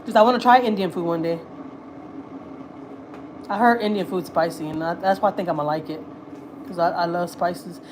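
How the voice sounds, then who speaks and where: A woman's voice: fairly low pitch, normal speed, long pauses, a little hiss or hum, low-quality recording. A woman, hosting a casual livestream and rambling through frank slang and fillers. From Houston, Texas. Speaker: livestream host. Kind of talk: casual livestream chat, reading viewer comments. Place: at home.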